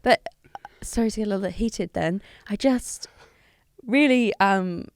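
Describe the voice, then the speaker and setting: A woman's voice: medium pitch, unhurried, clean tone, dry recording, studio quality. A young woman, a podcast guest, chatting casually, swearing freely and getting heated. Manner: animated, swears, gets heated. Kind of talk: casual chat.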